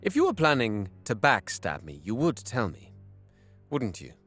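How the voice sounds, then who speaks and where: A man playing a proud, covetous young dark elf brother in an audio drama, theatrical and haughty. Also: mid-range voice, wildly swooping pitch, a little slow, heavily acted. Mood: haughty, intrigued. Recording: music under the voice. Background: music.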